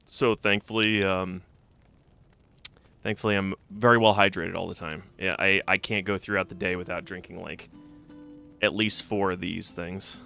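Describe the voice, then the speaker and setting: Stuffy, gravelly-voiced man streaming a game. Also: low voice, steady tone, moderate pace, sharp tone. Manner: rambling, thinking aloud with many fillers. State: slightly stuffy from a cold. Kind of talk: casual let's-play commentary. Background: game music.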